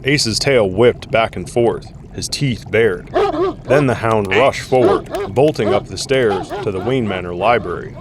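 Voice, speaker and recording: A man's voice: on the low side, unhurried, noisy background. A man reading dramatic scripted narration for an action audio drama.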